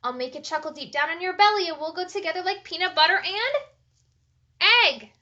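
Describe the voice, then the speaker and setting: A woman's voice: very high-pitched, lively intonation, brisk, pushed and bright, highs cut off, exaggerated voice. A woman voicing an eager, friendly little boy made of peanut butter in a storybook, with a singsong, rhyming delivery. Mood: eager, hopeful.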